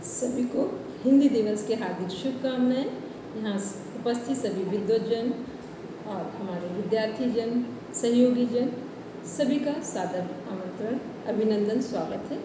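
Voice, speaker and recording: A woman's voice: a little high, unhurried. Woman lecturer, formal speech, far from the mic, echo everywhere, noisy background. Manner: formal, flowing, lists many words in a row. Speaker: invited guest speaker, college teacher. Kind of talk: formal speech at an online event. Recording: echoey room from a distance, noisy.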